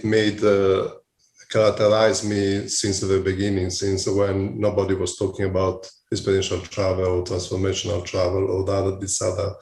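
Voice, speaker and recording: A man with an Italian accent and a fairly low voice speaks as an interview guest on an echoey remote call. His answers are conversational and reflective, with halting searches for the right word. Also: darkish tone. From Italy. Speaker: podcast guest, hospitality company CEO.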